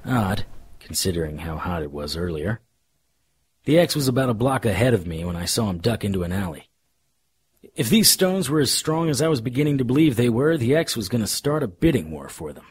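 An actor playing a wry, deadpan, hardboiled supernatural private investigator narrating a noir radio drama in a husky voice. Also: male voice, lowish voice, fairly quick, exaggerated voice. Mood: wry, watchful. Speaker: narrator and lead.

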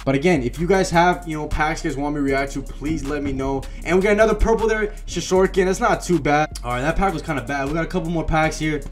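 A man's voice: fairly high pitch, quick pace, few pauses, faint music behind. A young man in his late teens rambling casually over a pack-opening reaction. He uses lots of slang and fillers, and his voice is wearing thin. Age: young adult. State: losing his voice. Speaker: YouTube gaming creator.

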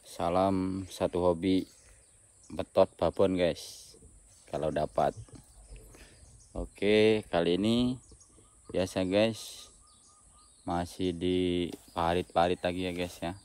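Slow deep-voiced man vlogging outdoors. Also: fairly even intonation, darkish tone, clear, resonant tone. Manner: chatty, keeps saying "guys", murmurs while fishing. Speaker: fishing vlogger. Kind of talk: casual outdoor vlog commentary. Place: outdoors by a small ditch in plantation.

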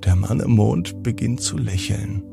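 A man narrating a bedtime story for children in a deliberate, expressive manner with drawn-out pauses. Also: fairly low pitch, creaky. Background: music.

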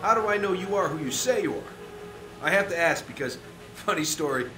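An actor playing an unhinged, chatty rogue CIA operative in a video game, rambling with flippant, jokey menace. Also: male voice, fairly high pitch, expressive, normal speed, echoey room, over music, natural voice. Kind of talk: video game cutscene acting.

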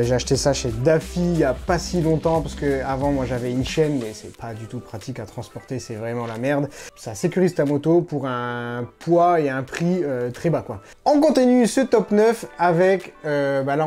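A man in his thirties vlogging to the camera from a room at home, talking casually and slangily with lots of fillers. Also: medium pitch. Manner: chatty, informal, slangy and animated, lots of fillers. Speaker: motorcycle vlogger.

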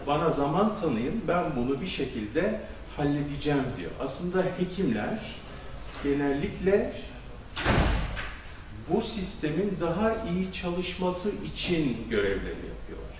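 A middle-aged man, a physician, giving a measured academic conference talk into a handheld mic, with frequent hesitation fillers. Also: medium pitch, noisy background. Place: conference hall. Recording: echoey hall, distant camera audio.

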